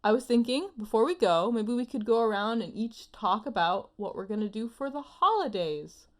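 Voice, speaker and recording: A woman's voice: a little high, lively intonation, normal speed. A woman co-hosting a casual comedic anime podcast in an informal, self-deprecating way. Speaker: podcast co-host.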